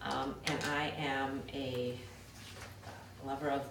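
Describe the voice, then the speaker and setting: A woman's voice: extremely low pitch, flat delivery, slow pace, vocal fry. A woman from Wisconsin who directs a conservation program, introducing herself to a class with a few fillers. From Milwaukee, Wisconsin. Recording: echoey classroom from far off, audible noise.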